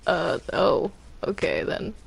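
A woman improvising roleplay while playing a video game, speaking in a hesitant, halting way. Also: lowish voice, huge pitch swings, vocal fry. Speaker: Minecraft roleplay player.

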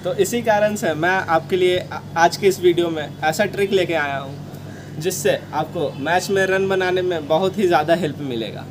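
A man's voice: high-pitched, moderate pace. A young man, giving instructions to camera as host of a coaching video, recorded outdoors on a rooftop. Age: young adult. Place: outdoor rooftop terrace among brick buildings. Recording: clearly noisy outdoor ambience, traffic hum.

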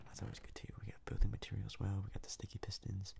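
A man whispers his way through ASMR game commentary in a low, very breathy and husky voice. Heavy gravelly fry runs through it. He rambles hesitantly, often doubling up on words. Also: completely flat delivery, very fast, mellow. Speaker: gaming video host.

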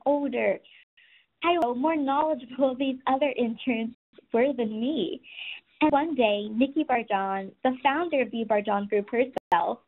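Soft-spoken woman in her twenties, fairly high voice, earnest presenter, phone-like thin sound. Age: 20s. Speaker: webinar presenter. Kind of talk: scripted presentation. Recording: tinny phone-like audio.

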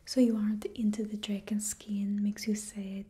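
A woman's voice: medium pitch, level tone, normal speed, dark tone, husky. A young woman voicing a cheerfully ruthless stylist to supervillains, whispering close to the mic in a put-on Russian accent. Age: young adult. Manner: close whispering, drops little words. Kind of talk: whispered ASMR roleplay. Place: small indoor studio with backdrop. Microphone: close mic, face near camera.

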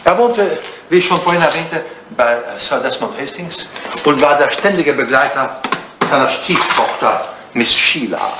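An actor playing an elderly, devoted English butler in a 1980s German radio drama, speaking formally and deferentially with dry understatement. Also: male voice, fairly high pitch, very animated, moderate pace. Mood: discreetly gossiping. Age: older. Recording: roomy, noisy old radio play recording.

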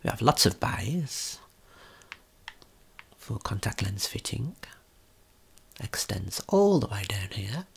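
A man giving a guided ASMR tour in a soft, breathy whisper, gently explaining without hurry. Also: on the low side, sing-song, slow pace, long pauses.

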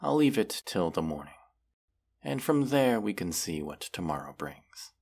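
A man's voice: low voice, very animated, gravelly, a little mellow, full character voice. A man voicing a proud, mighty dragon in a fantasy roleplay, haughty and formal in manner. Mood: coy, possessive. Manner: haughty, deliberate, formal phrasing with menacing undertone. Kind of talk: fantasy roleplay audio acting.

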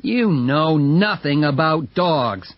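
A man's voice: fairly high pitch, lively intonation, slow, dry recording, dull audio, voice pushed up. A man voicing a stubborn, scornful young man of twenty in a read-aloud story. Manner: scornful, bossy.

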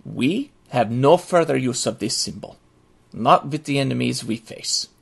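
A man playing an earnest military handbook author, narrating in a formal, measured, instructive way for an audio drama. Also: medium pitch, lively intonation, creaky, slightly rough, heavily acted. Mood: earnest, solemn.

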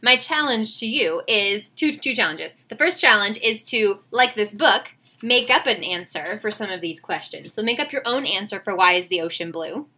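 A woman, reading a picture book aloud to children with expressive, enthusiastic, chatty asides. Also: medium pitch, moderate pace, hardly any pauses. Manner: expressive, enthusiastic, questioning the listeners. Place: small home room, indoors.

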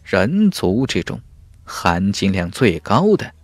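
Animated male fantasy audiobook narrator. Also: low voice, takes it slowly. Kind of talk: dramatic scripted fantasy narration. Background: music.

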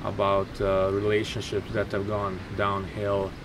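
A man's voice: lowish voice, monotone, unhurried. A young man from Bosnia and Herzegovina, a native Bosnian speaker, speaking thoughtfully in a documentary interview, often repeating words. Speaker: band drummer. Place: outdoors by a graffiti wall. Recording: outdoor noise behind.

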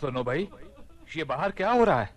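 An actor playing a shrewd, composed Caliph disguised as a merchant, measured and authoritative, in a radio play. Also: male voice, on the high side, very animated, very noisy. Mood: genial, probing. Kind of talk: Hindi radio play acting.

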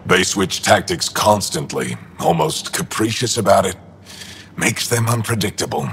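An actor playing a gruff, war-hardened alien resistance leader in a video game, terse, dry and cynical with a gravelly voice. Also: male voice, deep voice, lively intonation, unhurried, a bit bright, a little hiss or hum. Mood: blunt, grim. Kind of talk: video game dialogue acting.

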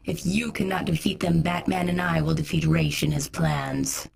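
A woman playing a grave female vigilante in scripted game dialogue, husky and gravelly, speaking in a measured, serious way. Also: sits low, fairly even intonation, a little mellow, exaggerated voice. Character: Batgirl, grave female vigilante sending a warning.

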